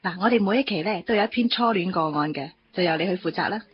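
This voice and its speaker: A woman's voice: medium pitch, quick pace, slight background noise, close to an everyday voice. An actress playing a businesslike, slyly thrifty female magazine editor in a radio drama. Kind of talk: Cantonese radio drama acting. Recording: dull radio drama recording with music.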